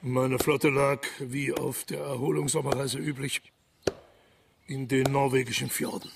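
An actor playing a pious, self-important German emperor in a solemn, declamatory manner for a radio drama. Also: male voice, medium pitch, creaky, far from the mic, noisy background.

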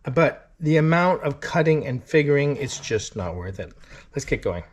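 A man's voice: medium pitch, big pitch swings, moderate pace, slight background noise. A middle-aged man working as a shipwright, thinking aloud as he methodically explains a woodworking job in his shop. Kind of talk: workshop how-to narration. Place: wooden-walled boat shop, indoors.

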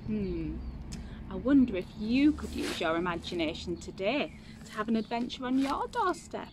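A woman's voice: medium pitch, very animated, normal speed, background noise. A young woman narrating a children's storybook expressively, recorded outdoors. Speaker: storybook reader.

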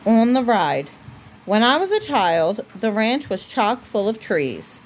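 A woman reading a children's storybook aloud as a mother would at bedtime, with deliberate pauses. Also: on the high side, sing-song, slight background noise, rough recording.

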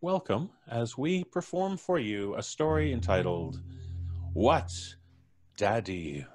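A man's voice: medium pitch, sing-song, slow. A middle-aged man, jovially hosting a live podcast show and chatting between introductions. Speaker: podcast host. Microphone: video call from home.

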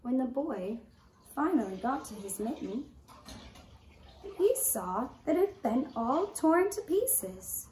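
Female storyteller for a children's puppet show, high voice, very measured with dramatic pauses, roomy sound. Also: very animated, darkish tone. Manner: slow, deliberate delivery with dramatic pauses. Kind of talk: children's storytelling, scripted narration. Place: indoor room with draped puppet stage. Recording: roomy echo, faint background noise.

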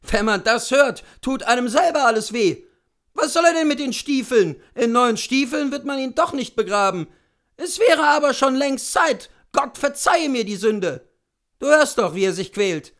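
Man voicing a shrill, scolding peasant cook woman. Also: lively intonation, moderate pace, a bit bright, exaggerated voice. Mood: scolding, indignant. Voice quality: shrill, nagging. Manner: chattering, complaining.

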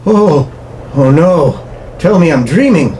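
A man's voice: fairly high pitch, lively intonation, slow, warm tone, pitched above the performer's own voice. A man voicing a brave, desperate pulp hero in earnest, melodramatic radio horror style. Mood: horrified. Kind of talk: old-time radio horror acting. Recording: clearly noisy recording.

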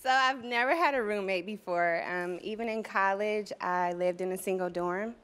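A woman's voice: mid-range voice, moderate pace, noisy background. Young woman asking an advice question. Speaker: studio audience member. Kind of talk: asking a question on a talk show. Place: TV studio audience. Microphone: handheld mic.

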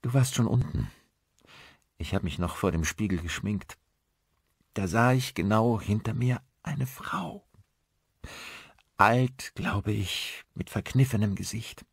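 A man's voice: fairly low pitch, takes it slowly, vocal fry, pauses often, slightly rough, no room sound, studio quality, voice pushed up, heavily acted. A man voicing a frightened, sarcastic, shaken wife in an audiobook, emotional and emphatic. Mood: shaken, confiding. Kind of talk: dramatised audiobook dialogue.

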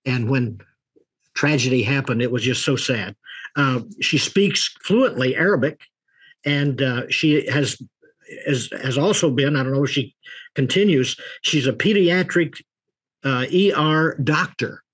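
Older man hosting, slightly husky voice. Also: medium pitch, unhurried, a bit bright. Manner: rambling, folksy, with many restarts and fillers. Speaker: host. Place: office with a leather chair.

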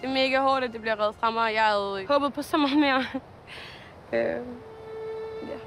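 A young woman on a reality show, talking haltingly and laughing nervously in a beach confessional. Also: sits high. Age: young adult. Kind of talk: confessional interview. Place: outdoors on a tropical beach. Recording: reality TV with music underneath.

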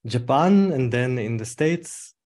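A man hosting a podcast, asking curious, measured interview questions. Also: medium pitch, expressive, darkish tone. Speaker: podcast host.

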